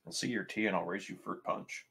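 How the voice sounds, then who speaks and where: A man's voice: deep voice, steady tone, brisk, a bit bright, breathy edge. A man playing a tabletop game, bantering casually in a sweary, joking way.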